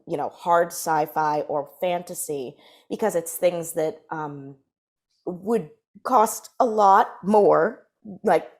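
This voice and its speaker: A woman's voice: on the low side, a little mellow. A woman speaking as a panelist, explaining her points thoughtfully in a conversational way.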